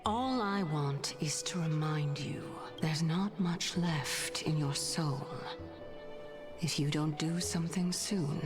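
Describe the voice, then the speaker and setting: A woman's voice: sits low, slow pace. A woman voicing a sly, sardonic, teasing female titan in a game cutscene. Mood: teasing, composed. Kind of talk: scripted game cutscene dialogue. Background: music.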